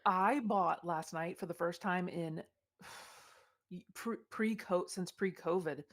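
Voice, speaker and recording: A woman co-hosting a podcast in casual chat, animated and full of questions. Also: lowish voice, slow. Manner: animated, inquisitive, laughing. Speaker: podcast co-host.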